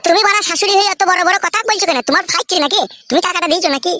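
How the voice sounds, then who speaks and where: Casting: woman as aggrieved young cartoon man, high-pitched, quick, defiant and swearing. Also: noisy background. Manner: sulky, argumentative, swearing. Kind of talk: comedy cartoon dubbing.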